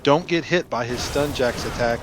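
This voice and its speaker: A man's voice: mid-range voice, moderate pace, background noise. A man giving instructional, plainly explanatory commentary as a guide to a video game. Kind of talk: gameplay walkthrough commentary. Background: game music and battle sounds.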